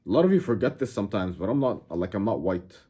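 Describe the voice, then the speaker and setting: A man's voice: on the low side, expressive, fast, dark tone, slightly rough. A man from Egypt whose first language is Arabic, talking straight to camera in a casual, brash, emphatic way and often saying "bro". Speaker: self-improvement YouTuber. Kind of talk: casual direct-to-camera talk.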